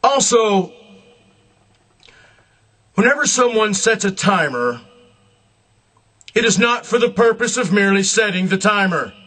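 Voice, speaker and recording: A man delivering a solemn, scripted religious address in a grandiose, emphatic manner, in deliberate short phrases. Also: sits high, expressive, sharp tone. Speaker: self-proclaimed religious leader.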